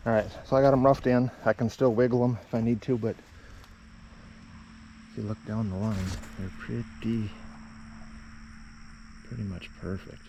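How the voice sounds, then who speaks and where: A man's voice: mid-range voice, fairly even intonation, normal speed, pauses often. A man talking casually through a hands-on building job as he works outdoors, plainspoken and offhand, like a DIY vlogger. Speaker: builder filming a DIY construction project. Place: outdoors at a rural building site. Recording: muffled lo-fi outdoor audio, loud background noise.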